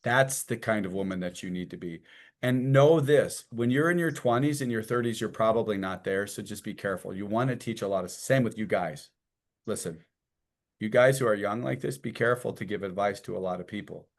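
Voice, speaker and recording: A man's voice: on the low side, a little fast. A man teaching an informal church class, talking in a folksy, conversational way and acting out little quotes and images as he goes.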